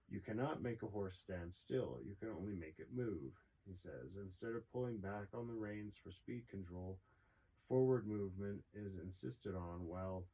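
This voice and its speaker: A man's voice: on the low side, mellow, breathy edge, poor audio. A man hosting a podcast, reading an article aloud with casual asides and stumbling a little over words.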